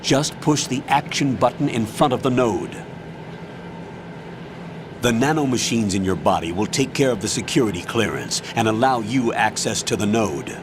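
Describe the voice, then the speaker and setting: A man playing a commanding older army colonel in a video game, giving measured, authoritative mission briefings. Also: on the low side, lively intonation, background noise, natural voice. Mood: instructive.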